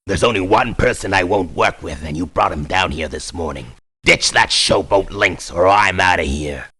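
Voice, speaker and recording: An actor voicing a tough, short-tempered ex-convict mercenary in a video game, with a blunt, streetwise, surly way of talking. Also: male voice, low voice, normal speed, pushed and bright, husky, exaggerated voice. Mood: surly, defiant. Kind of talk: video game voice acting, short barked one-liners.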